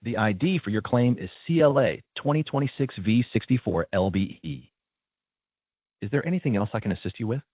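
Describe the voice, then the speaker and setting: A man's voice: fairly low pitch, lively intonation, brisk, breathy edge, no room sound. A man's synthetic voice acting as a polite, procedural automated claim agent with scripted customer service lines. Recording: narrow tinny phone-like sound.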